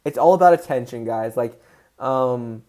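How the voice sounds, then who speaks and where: A man hosting a casual livestream, excitable and answering viewers' chat questions as he goes. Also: medium pitch, normal speed. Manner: excitable, voice rises when passionate.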